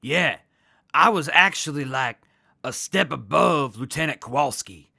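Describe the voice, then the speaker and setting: An actor in an audio drama playing an earnest hepcat military captain who talks in jive beatnik slang. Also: male voice, on the high side, lively intonation, unhurried, gravelly, pushed and bright, no room sound, clean studio sound, heavily acted. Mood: proud, sincere.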